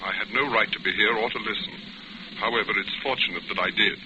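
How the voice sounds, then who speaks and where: Actor as scheming man, lowish voice, self-assured, insinuating, 1940s radio drama, clearly noisy recording. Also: steady tone, quick pace, highs cut off, close to an everyday voice. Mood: politely probing. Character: smooth, scheming male fugitive, coolly persuasive.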